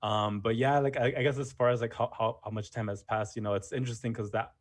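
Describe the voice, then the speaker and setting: A history teacher talking casually as an interview guest, full of "you know" and "um" fillers. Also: male voice, mid-range voice, fairly even intonation, fast talker. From United States. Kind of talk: casual conversation.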